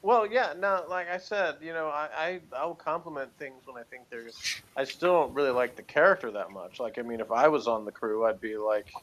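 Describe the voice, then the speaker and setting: A man's voice: medium pitch, a little fast, a bit bright, poor audio. A man talking informally and hesitantly, meandering through his opinions in an unscripted review chat about television. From outside Britain.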